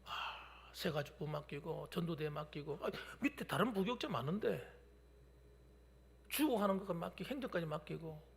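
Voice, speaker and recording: Man, pastor, 50s, lively pitch, gravelly voice, preaching with sudden whispers, church reverb. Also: normal speed. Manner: emphatic preaching, swinging from loud declarations to hushed whispers. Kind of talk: sermon. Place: church sanctuary during a midweek service. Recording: long hall reverb from a church.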